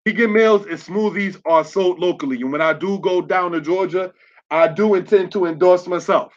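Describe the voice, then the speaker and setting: A man's voice: high voice, moderate pace. A man giving a religious lesson over slides, spelling words out letter by letter and checking in with "all right?" as he goes. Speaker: religious teacher. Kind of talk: slide-based online lesson. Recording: dull lo-fi audio.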